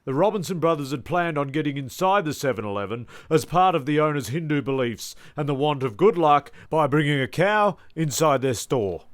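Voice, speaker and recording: A man voicing a solemn, deadpan news reader in a parody bulletin for a radio comedy, measured and formal. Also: on the high side, dry recording, clean studio sound, lightly acted. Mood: deadpan, punchy. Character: solemn male TV news reader, deadpan about absurd crime.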